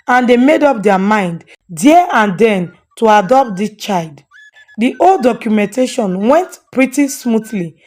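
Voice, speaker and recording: A woman's voice: a little high, darkish tone. A woman storyteller giving a scripted narration of a folktale. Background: faint music.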